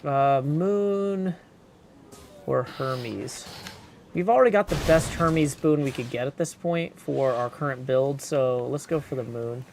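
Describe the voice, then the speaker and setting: A man's voice: medium pitch, slow pace, creaky, background noise. A man giving casual gameplay commentary, talking to himself as he plays. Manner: talks aloud to himself while playing. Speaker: video game streamer.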